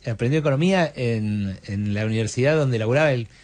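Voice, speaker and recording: A man's voice: medium pitch, quick pace, darkish tone. A man hosting a casual streamed interview with an Argentine accent, chatty and jokey, with many fillers. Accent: Argentine (Rioplatense). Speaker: host.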